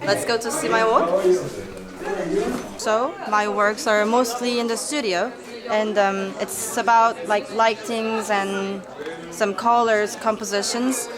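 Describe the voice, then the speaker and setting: A woman's voice: a little high, flat delivery, slow pace. A woman from South Korea with a Korean accent, presenting her photography portfolio, searching for words as she goes. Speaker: photography student. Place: student exhibition. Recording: busy crowd around. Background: crowd chatter.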